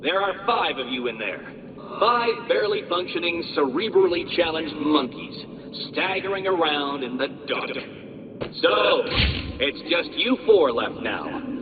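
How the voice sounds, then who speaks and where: An actor playing an arrogant, condescending genius villain in a video game, with a pompous, sneering, wordy delivery. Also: male voice, a little high, animated, a little slow, phone-quality sound, crowd noise. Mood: condescending lecturing.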